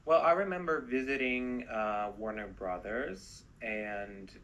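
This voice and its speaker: A man's voice: medium pitch, slow, fairly bright tone, highs cut off. A man from Turkey telling an exasperated story in a short clip. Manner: exasperated, repeating a punchline.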